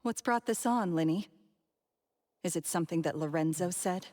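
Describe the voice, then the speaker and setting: A woman's voice: fairly low pitch, normal speed, a little mellow, a little hiss or hum, lightly acted. A woman voicing a poised, guarded adult widow in an anime game, with a politely mocking manner. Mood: amused, guarded. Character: poised adult widow suspected of being a master thief.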